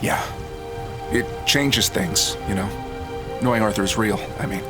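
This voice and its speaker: A man playing a wisecracking, tender, self-mocking adventurer in an audio drama, talking in a halting, wry, conversational way. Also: lowish voice, over music, natural voice. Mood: earnest. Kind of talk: fan audio drama acting.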